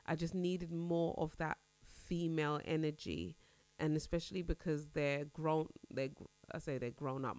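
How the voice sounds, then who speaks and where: Low-voiced stuffy-nosed woman. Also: no room sound. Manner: chatty, candid, rambling with restarts. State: congested, nasal from a cold. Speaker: podcast host. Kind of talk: casual personal chat.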